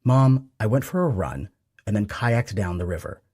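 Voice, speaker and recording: A man's voice: fairly low pitch, expressive, moderate pace, a little mellow, breathy edge. A man voicing a wry, self-deprecating adult son in an audiobook.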